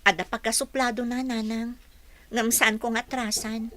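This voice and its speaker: An actress with an Ilocano accent playing an earnest, respectful young employee in a radio drama. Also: female voice, fairly high pitch, little pitch movement, moderate pace, pushed and bright. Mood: confiding, determined. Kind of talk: Filipino radio drama acting.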